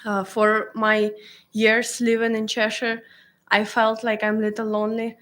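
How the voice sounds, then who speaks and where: A woman's voice: fairly high pitch, completely flat delivery, unhurried, fairly bright tone. A woman from Ukraine, giving earnest, grateful remarks at a podium microphone in a town council chamber, in simple English. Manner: earnest and grateful, simple non-native phrasing. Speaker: resident speaking at public comment. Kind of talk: prepared remarks at a council meeting.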